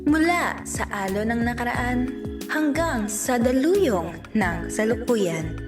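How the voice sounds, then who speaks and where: A woman's voice: a little high, animated, unhurried, recorded from a distance. A woman with a Filipino accent hosting radio with a scripted, dramatic introduction. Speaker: radio host. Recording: roomy echo, loud music behind.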